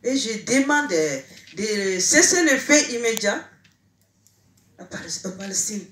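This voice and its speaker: A woman from Mali making an emphatic, halting, impromptu plea at a public meeting in an echoey room, repeating her phrases. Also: medium pitch, animated, fairly bright tone. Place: indoor meeting hall.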